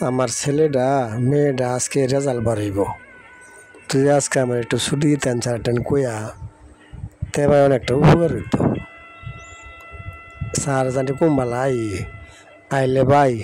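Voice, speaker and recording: Mellow-voiced humble working father played by a man. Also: mid-range voice, fairly even intonation, normal speed, pauses often, slight background noise, lightly acted, rough recording. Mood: humbly pleading. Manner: deferential, earnest. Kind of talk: cartoon drama dialogue.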